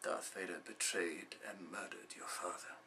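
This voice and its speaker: A man playing a solemn old Jedi mentor, measured. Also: mid-range voice, animated, sharp tone, a little hiss or hum. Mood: somber, hushed. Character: old Jedi mentor, wistful, wise and grave. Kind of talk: dramatic film monologue performance.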